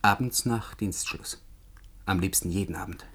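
Low-voiced earnest young scientist. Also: male voice, moderate pace, a bit bright, exaggerated voice. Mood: businesslike, polite. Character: earnest, defiant young male scientist working in secret. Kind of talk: German radio drama acting. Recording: clearly noisy vintage radio drama recording.

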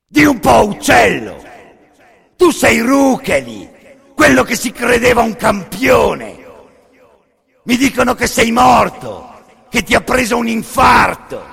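A screaming, brutal camp kapo. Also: male voice, very high voice, big pitch swings, slow pace, gravelly, pauses often, fairly bright tone, raised voice, voice pushed up, exaggerated voice. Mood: aggressive taunting. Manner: bellowing, taunting, menacing. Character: brutal sneering male camp kapo, ex-boxer bully. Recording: long reverberant hall.

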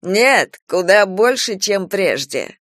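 A man voicing a young, dissolute gambler nephew, theatrical and pleading, with drawn-out emphatic delivery. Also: high voice, lively intonation, a bit bright, no room sound, studio quality. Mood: agitated, confessing.

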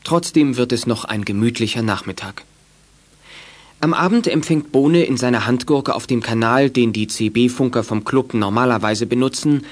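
A man's voice: mid-range voice, close to an everyday voice. A man narrating a children's detective audio drama as a composed, scripted storyteller. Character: composed male storyteller of a youth detective tale.

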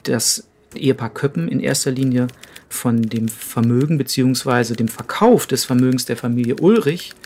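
A man's voice: medium pitch, moderate pace, darkish tone, slight background noise. A man giving reflective spoken commentary in careful, formal phrasing.

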